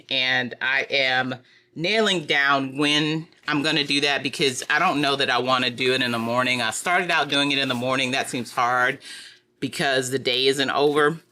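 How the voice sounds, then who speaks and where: A woman's voice: deep voice, bright, forceful tone. A woman, sounding congested from allergies, chatting casually to camera as a lifestyle vlogger and rambling through asides. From Texas. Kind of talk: casual direct-to-camera unboxing.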